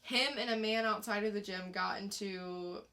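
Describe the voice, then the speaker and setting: A woman's voice: mid-range voice, moderate pace, bright, forceful tone. A woman co-hosting a podcast, telling stories in casual conversational banter. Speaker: podcast co-host.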